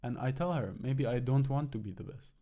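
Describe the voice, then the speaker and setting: A man's voice: medium pitch, brisk, warm tone, lo-fi sound. A man from Iran in casual podcast conversation, speaking earnestly and reflectively. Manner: reflective, earnest, quotes his mother.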